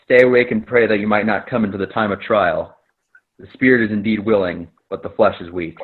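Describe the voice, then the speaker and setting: A man's voice: fairly low pitch, steady tone, brisk, narrow, tinny audio, natural voice. A man playing a solemn teacher who foretells his death, in a readers theater scripture reading. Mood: reproachful.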